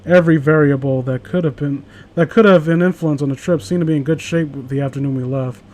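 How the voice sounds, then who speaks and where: Man, higher voice, casual read-aloud host, sarcastic, sweary, cuts in with his own asides. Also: steady tone, quick pace, a little mellow. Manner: sarcastic, profane, interrupts own reading with asides. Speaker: host reading a horror story aloud with a friend.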